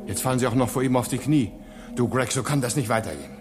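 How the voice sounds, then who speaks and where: A man's voice: medium pitch, lively intonation, fast, over loud music, natural voice. A man playing a hot-tempered, sarcastic space station engineer in a German radio drama, with a blustering, exclamatory manner. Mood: scornful amusement. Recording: echoey hall with long reverb.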